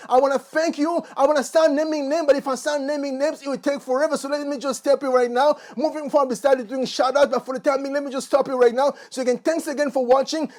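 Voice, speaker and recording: A man talking earnestly to his channel's viewers in a warm, effusive way, with frequent fillers and restarts. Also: very high voice. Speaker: motivational YouTuber. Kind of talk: casual monologue to camera.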